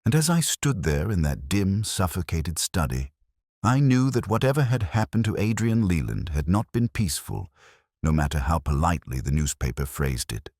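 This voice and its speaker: A middle-aged man narrating a first-person detective story in a measured, reflective, literary manner. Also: low-pitched, very animated, darkish tone, no room sound, clean studio sound.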